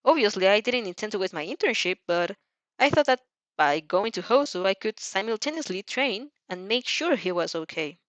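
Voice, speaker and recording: A woman's voice: mid-range voice, expressive, moderate pace, dry recording, close to an everyday voice. Teen girl hero-in-training, sincere, guarded, careful with words woman reader acting; dry, lo-fi fan audio drama. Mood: measured. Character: earnest, guarded female teenage hero student. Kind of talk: fan fiction audio reading.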